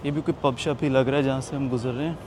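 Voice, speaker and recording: A man's voice: mid-range voice, fairly even intonation, fast, a little mellow. Chatty man vlogging outdoors. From Pakistan. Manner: chatty, jokey, talks to viewers while walking. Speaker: travel vlogger. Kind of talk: casual walking vlog narration. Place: outdoors at a busy tourist waterfront. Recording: outdoor street noise. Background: street noise and traffic.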